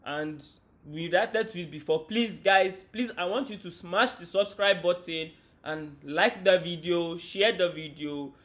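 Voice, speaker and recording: A young man from Nigeria hosting a casual reaction chat on a living-room sofa, with loud energetic greetings. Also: sits high, measured pace. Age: young adult. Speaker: host.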